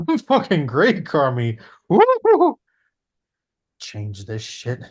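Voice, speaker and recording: Exuberant buddy with tough-guy swagger, male actor, animated and bouncing intonation. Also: fairly high pitch, takes it slowly, exaggerated voice. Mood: cocky. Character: cocky, exuberant buddy newly acting tough. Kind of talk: table read acting.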